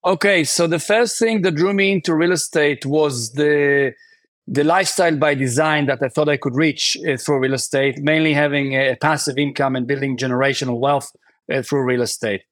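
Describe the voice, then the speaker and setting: A man's voice: a little high, fairly even intonation, normal speed, a bit bright, no echo. A man from Israel, a guest on a podcast, answering questions in a conversational way, with many fillers and restarts. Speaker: podcast guest, real estate investor.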